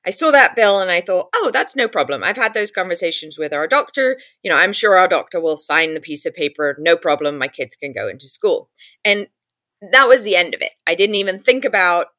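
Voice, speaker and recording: An English woman in her thirties recounts her story in a lively, chatty way, slipping into quoted thoughts as she goes. Her voice sits a little low but has a bright, forward edge. The recording is close and dry, with no room around it. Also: fairly quick, no room sound. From England. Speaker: advocacy group founder. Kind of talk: storytelling in an interview.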